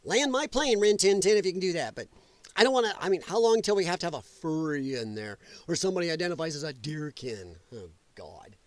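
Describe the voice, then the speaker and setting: A man's voice: on the high side, lively intonation, fairly quick, dry recording. A man hosting a livestream, reading news aloud with exasperated, sarcastic, profane commentary. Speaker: livestream host. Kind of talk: opinionated news commentary rant, reading an article aloud with asides.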